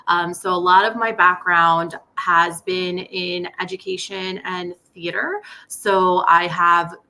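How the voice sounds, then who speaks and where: A woman's voice: lowish voice, fairly even intonation, slow pace, creaky, bright, forceful tone. A woman from Staten Island, a nonprofit educator, telling her story earnestly on a panel. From Staten Island, New York. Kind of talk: casual panel talk.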